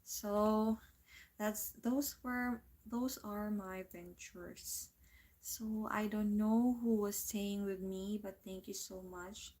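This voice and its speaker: A woman with a Filipino accent, chatting casually on her livestream and pausing often to find her words. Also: mid-range voice, fairly even intonation, darkish tone. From Philippines. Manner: unhurried, searching for words, reads viewer comments aloud. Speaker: livestream host and part-time English teacher.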